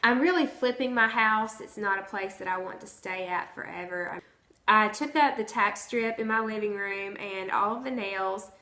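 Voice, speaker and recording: A hoarse woman, chatting casually to her vlog audience from home. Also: mid-range voice, pushed and bright. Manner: chatty, conversational. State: hoarse from the flu. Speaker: vlogger. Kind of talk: casual chat to camera. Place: at home, indoors. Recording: roomy echo.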